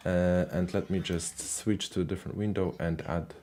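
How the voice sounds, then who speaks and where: A man hosting a live call-in language show, casual and halting, with many fillers and restarts. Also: low-pitched, fairly even intonation, darkish tone.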